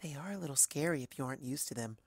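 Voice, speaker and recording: Someone doing a quiet, timid pony girl. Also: mid-range voice, rapid-fire, no room sound, studio quality, lightly acted. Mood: gentle, kindly. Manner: soft-spoken, hesitant, sweet. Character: timid, gentle young female pony seamstress.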